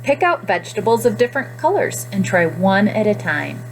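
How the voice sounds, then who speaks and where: A woman teacher speaking to young children in simple, expressive phrases during a guided reading lesson. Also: medium pitch, moderate pace, soft background music, low-quality recording. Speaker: classroom nutrition teacher.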